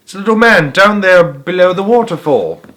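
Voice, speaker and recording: A man voicing a frantic, shrieking young girl in a storybook, shrill and urgent. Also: lively intonation, normal speed, fairly bright tone. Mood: frantic excitement. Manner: shrill, urgent, breaking into screams. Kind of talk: storybook character voice.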